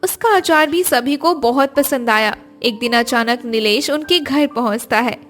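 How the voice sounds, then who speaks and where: A woman with a very high voice narrates a scripted cartoon family tale. A faint music bed runs behind her storytelling. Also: unhurried, fairly bright tone.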